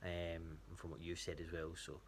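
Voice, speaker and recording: Scottish male, low voice, informal and halting check-in style, noisy recording. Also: monotone. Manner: hesitant, rambling. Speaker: athlete giving a training check-in to a coach. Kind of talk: casual spoken update.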